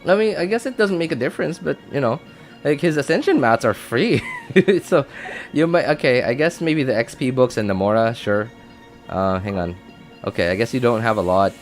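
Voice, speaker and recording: A man giving casual, chatty live gameplay commentary as a streamer, thinking out loud and reacting as he goes. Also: medium pitch, animated, normal speed, soft background music. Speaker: game streamer.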